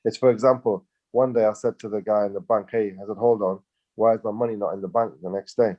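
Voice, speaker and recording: A man's voice: lowish voice, fairly even intonation, quick pace, highs cut off. A man co-hosting a casual business chat, rambling through his points with many fillers and restarts. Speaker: co-host.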